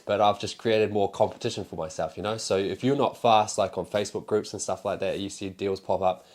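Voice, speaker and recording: A man's voice: lowish voice, fairly even intonation, fairly quick, darkish tone. A young man with a New Zealand accent, talking casually to camera about selling cards, rambling and candid with fillers and the odd swear. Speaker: card seller and content creator.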